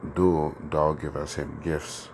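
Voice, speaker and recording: Very deep, flat-voiced man with a sore throat. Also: takes it slowly, slight background noise. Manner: conversational, many fillers and tag questions. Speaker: teacher of a religious group. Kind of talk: informal scripture lesson.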